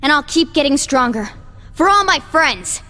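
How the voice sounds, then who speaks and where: A woman's voice: high voice, lively intonation, moderate pace, sharp tone. An actress playing a plain-spoken, loyal young woman fighter in a video game, determined. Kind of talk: English video game dub acting. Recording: echoey hall reverb.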